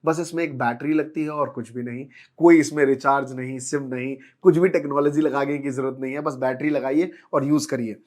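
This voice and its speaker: A man's voice: mid-range voice, steady tone, a little fast, hardly any pauses, darkish tone. An Indian man giving an informal, persuasive product demonstration, explaining things and mixing in English words. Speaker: product presenter and seller.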